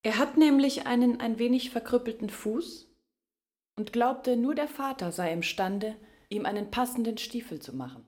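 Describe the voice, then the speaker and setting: An actress speaking sober, reflective lines as one voice in a radio play's chorus. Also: female voice, a little high, lively intonation, moderate pace, a little mellow, close to an everyday voice. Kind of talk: radio play narration. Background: music.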